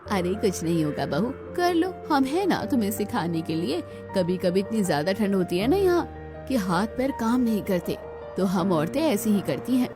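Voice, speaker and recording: A woman's voice: medium pitch, big pitch swings, normal speed, music in the background, close to an everyday voice. A woman voicing an older village mother-in-law, bossy but caring, who scolds and instructs in a cartoon story. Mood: reassuring. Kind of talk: Hindi cartoon story dialogue.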